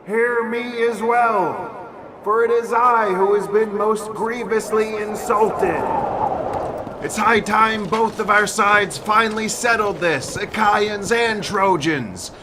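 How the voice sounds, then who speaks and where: A man's voice: high-pitched, slow, noisy background. A man playing a proud warrior of ancient Greek epic, addressing armies in formal, declamatory oratory for an audio drama. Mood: grave, resolute.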